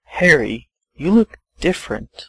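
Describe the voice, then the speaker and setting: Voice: medium pitch, sing-song, measured pace, sharp tone, breathy edge, no room sound, heavily acted, poor audio. A performer playing a prim, timid, doubtful teenage schoolgirl in an amateur comedic reading. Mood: doubtful, worried.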